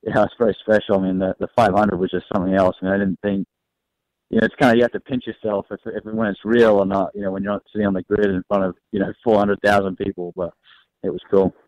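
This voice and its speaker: A man's voice: fairly low pitch, level tone, fast, darkish tone, dry recording. A young man with a partly Australian, partly American accent, speaking as a racing driver on a phone-in radio interview, easygoing and laughing often. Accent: mixed Australian and American. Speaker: race car driver guest. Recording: dry, dull phone-line sound.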